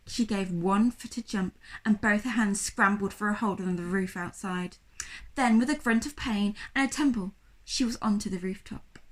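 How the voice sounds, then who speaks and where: A woman reading a children's story aloud like a primary school teacher, with expressive, dramatic narration. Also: medium pitch, poor audio.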